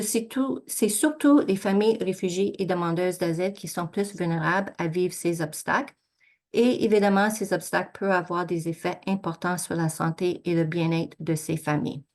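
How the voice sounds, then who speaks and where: A woman researcher giving an academic presentation, talking through slides in a measured way with frequent hesitations and self-corrections. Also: on the low side. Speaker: researcher presenting study results.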